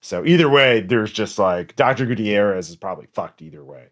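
Low-voiced man explaining a tabletop game, halting. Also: expressive. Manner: halting, trailing into pauses, sweary. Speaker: game master of a tabletop role-playing podcast. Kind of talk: casual post-game explanation.

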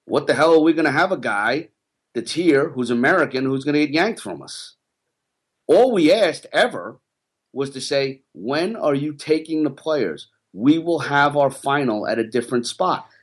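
A man's voice: animated, normal speed, slightly rough. Heated ranting man, rugby coach. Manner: passionate, ranting, rising pitch when worked up. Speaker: rugby podcast co-host, former coach. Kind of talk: casual podcast chat.

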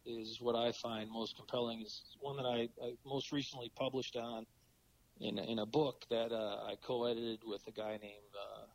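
A middle-aged man with a cold and a raspy voice, talking as a podcast guest in a halting way with many fillers. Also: mid-range voice, flat delivery, low-quality recording. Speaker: podcast guest, philosophy professor. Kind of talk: casual interview talk.

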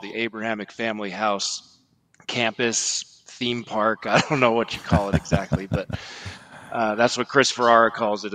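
Bright, forceful man as podcast guest. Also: on the low side, poor audio. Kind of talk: conversational explanatory commentary.

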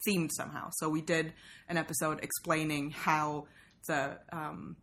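A woman's voice: low voice, flat delivery, unhurried, a bit bright. A woman from Sweden, a native Swedish and Russian speaker, chatting casually and wryly in English as an interview guest. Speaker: guest, journalist and podcaster. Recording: faint background noise.

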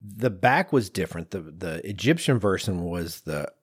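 A man's voice: sits low, lively intonation, moderate pace, breathy edge, no echo, studio quality. A man co-hosting a casual design discussion, speaking in an explanatory, step-by-step conversational way. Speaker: podcast co-host.

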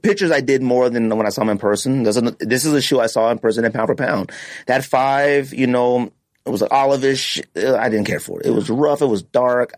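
A man hosts a casual podcast countdown with very lively, swooping intonation. He is blunt and opinionated, leaning on slang and punching key words. The sound is dry, with little room in it. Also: medium pitch, no echo. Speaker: podcast host. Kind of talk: casual sneaker countdown chat.

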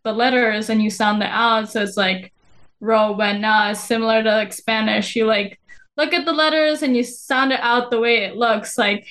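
Soft-spoken woman from the Philippines. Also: fairly high pitch, normal speed. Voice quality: soft-spoken, not a loud voice. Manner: relaxed, chatty, many fillers. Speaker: podcast guest. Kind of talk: casual conversation.

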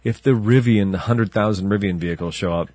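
A man's voice: lowish voice, brisk, darkish tone, no echo. A man co-hosting a casual car podcast who rambles through his points with restarts and chuckles. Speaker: podcast co-host. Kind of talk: casual banter.

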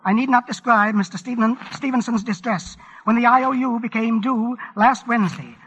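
An actor playing a weary, meticulous gentleman, speaking politely and precisely in an old radio drama. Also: very high-pitched, slightly rough, highs cut off, heavily acted. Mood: grave, formal. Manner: polite, precise, measured, stiffly formal. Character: weary, formal, meticulous gentleman confessing by telephone. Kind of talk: 1940s radio drama acting.

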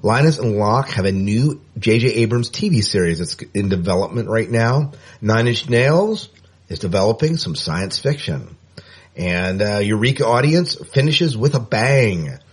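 Male podcast co-host, husky voice, chatty and upbeat, casual rambling. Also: fairly low pitch, sing-song, measured pace.

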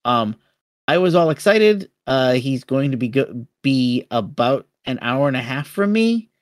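A man co-hosting a podcast in casual chat, halting and repeating words as he starts his sentences. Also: medium pitch, very animated, no room sound, clean studio sound. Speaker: podcast co-host.

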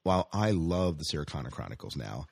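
Low-voiced man chatting about entertainment news. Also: very animated, fast, gravelly, husky, no room sound. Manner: conversational, frequently questioning his co-host. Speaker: podcast host reading entertainment news. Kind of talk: casual science fiction news chat.

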